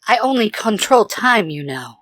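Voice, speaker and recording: A woman playing a calm young female controller of time in an audio fan fiction, speaking earnestly and deliberately. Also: medium pitch, dry recording, studio quality. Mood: focused.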